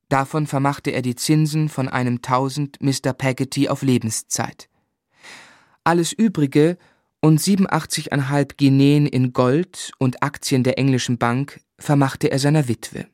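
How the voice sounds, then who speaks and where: A man acts the first-person narrator of a radio drama, an earnest young gentleman. His voice sits at medium pitch and he tells the tale in measured, sensitive, literary phrases. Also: fairly even intonation, no echo, clean studio sound, natural voice. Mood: sombre.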